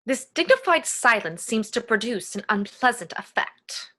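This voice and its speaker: A woman's voice: fairly high pitch, big pitch swings, moderate pace, sharp tone, exaggerated voice. A woman playing a haughty, dignified young society lady with formal, emphatic delivery in a comic stage play reading. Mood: haughty dignity. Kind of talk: dramatic reading of Victorian comedy play.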